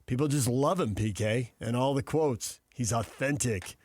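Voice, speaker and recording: A man's voice: medium pitch, moderate pace, a little mellow, no echo, clean studio sound. A man with an American accent hosting a live sports talk radio show.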